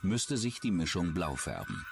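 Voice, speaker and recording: A man narrating a true-crime documentary in a measured, suspenseful way from a script. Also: deep voice, very animated, heavy background noise. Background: background music.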